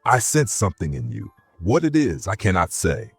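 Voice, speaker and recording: Man voicing a calm ancient cave spirit. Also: low-pitched, normal speed, husky, dry recording, soft background music, full character voice. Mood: calm probing. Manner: calm, probing, hushed.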